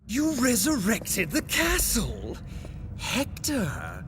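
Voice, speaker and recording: A man's voice: high-pitched, huge pitch swings, extremely slow, full character voice. An actor playing a vengeful, taunting villain sorcerer in a video game cutscene, drawing out his menace theatrically. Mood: gloating vengeance. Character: vengeful villainous sorcerer, gloating arch-rival, a man. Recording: loud music under the voice.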